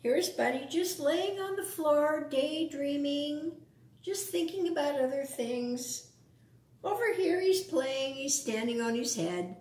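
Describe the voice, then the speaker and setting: Lady doing kids storytime, takes it slow, super expressive up and down, echoey room. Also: high voice, slight background noise. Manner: addresses young children, explaining and asking questions. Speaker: storytime reader. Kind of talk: children's picture-book read-aloud with casual asides.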